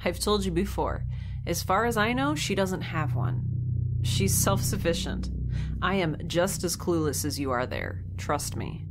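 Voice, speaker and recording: A woman's voice: lowish voice, animated, measured pace, over music. An actress playing a weary, sardonic young woman held captive in space in an audio drama, with a wry, rambling manner. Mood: defensive, sardonic. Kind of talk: audio drama monologue and dialogue.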